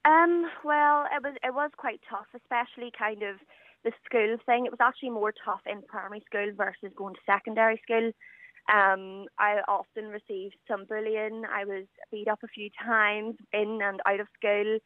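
A woman's voice: mid-range voice, fairly even intonation, moderate pace, no room sound. A confident 21-year-old woman from Northern Ireland with a Northern Irish accent, chatting with many fillers as a guest on a radio call-in. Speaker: guest caller. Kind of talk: casual radio interview over the phone. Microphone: telephone. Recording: tinny phone line.

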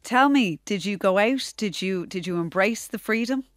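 Irish woman radio host, dry close studio mic, bright and teasing. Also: mid-range voice, lively intonation, no room sound. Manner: bright, teasing, quick to laugh. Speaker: radio presenter. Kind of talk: radio show presenting. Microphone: studio microphone.

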